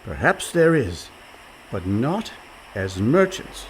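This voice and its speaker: A man's voice: medium pitch, sing-song, takes it slowly, low-quality recording. An actor playing a scheming, level-headed young seafaring con man in a radio play, sly and coaxing. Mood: cautioning, scheming. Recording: faint background noise.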